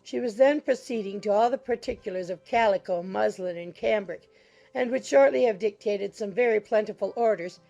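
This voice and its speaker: A woman's voice: mid-range voice, gravelly. A woman reading literary narration for a dramatized audiobook. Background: soft music.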